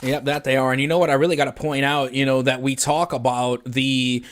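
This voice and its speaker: A man's voice: mid-range voice, flat delivery, a little fast, a bit bright. A chatty man from Louisiana giving live esports commentary in a conversational, casual way. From Louisiana, United States.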